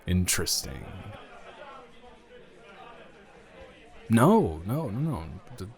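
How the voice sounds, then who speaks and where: A man plays a smitten, jealous-hearted guy in an improvised romance audio. His voice sits fairly low and moves very slowly, halting and awkwardly playful as he teases. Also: very animated, some chatter behind. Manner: halting, hesitant, teasing.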